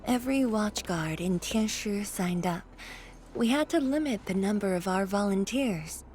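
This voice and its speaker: Slow-spoken, solemn woman leader voiced by an actress. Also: medium pitch, lively intonation, darkish tone, faint music behind, close to an everyday voice. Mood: solemn resolve. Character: solemn woman leader returning to her ruined homeland. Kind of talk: video game story voice acting.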